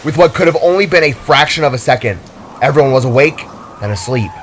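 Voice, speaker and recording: A man's voice: mid-range voice, animated, fast, a bit bright, a little hiss or hum. A man reading a scripted, dramatic story as its narrator. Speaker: author and narrator.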